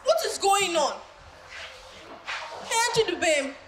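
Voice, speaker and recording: A woman's voice: very high-pitched, sing-song, slow, a bit bright, full character voice. A woman with a Nigerian accent playing a pious mother who reproaches her son in a live stage play. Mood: reproachful. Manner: reproachful, preachy, singing snatches. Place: church hall stage. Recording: echoey hall, noisy.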